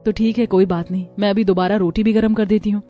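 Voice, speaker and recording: A woman's voice: mid-range voice, fairly even intonation, fast, dark tone, faint music behind, lightly acted. A woman voicing a hardworking, patient young daughter-in-law in a narrated story. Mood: patient, eager. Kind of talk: acted dialogue in a narrated story.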